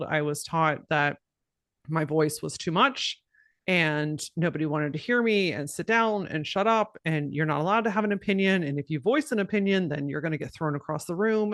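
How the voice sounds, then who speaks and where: A woman with a low voice talking bluntly and directly as a podcast guest, cussing freely and laughing often. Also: fairly bright tone, no room sound, studio quality. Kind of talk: casual podcast conversation.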